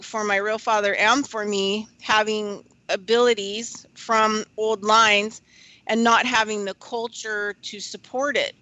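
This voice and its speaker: A woman hosting a radio show, talking reflectively and hesitantly with many pauses. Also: a little high, bright, forceful tone, no echo, poor audio.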